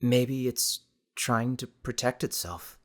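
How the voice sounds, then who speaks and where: A man's voice: medium pitch, takes it slowly, lightly acted. Man playing an exasperated, skeptical teenage boy. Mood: uneasy, pensive. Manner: sardonic, defensive, hesitant when cornered. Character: exasperated skeptical eighteen-year-old American high school boy.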